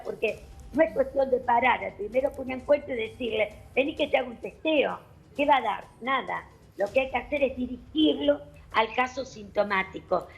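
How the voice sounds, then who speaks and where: A woman doctor with an Argentine accent, explaining calmly and methodically on a television panel. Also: on the high side, vocal fry, lo-fi sound. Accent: Argentine Spanish. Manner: measured, explanatory, addresses host formally.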